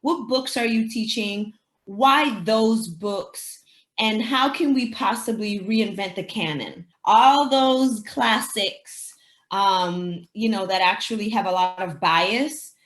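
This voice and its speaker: A woman's voice: medium pitch, slow pace. A woman from the Dominican Republic, a native Spanish speaker, explains things conversationally as an educator being interviewed, thinking aloud and posing rhetorical questions. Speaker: teacher and anti-racism educator, interviewee. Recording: roomy, echoing sound.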